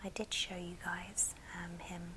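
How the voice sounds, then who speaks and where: A woman whispering softly and breathily in a gentle, affectionate ASMR show and tell. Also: on the low side, level tone, unhurried, background noise. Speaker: ASMR video host.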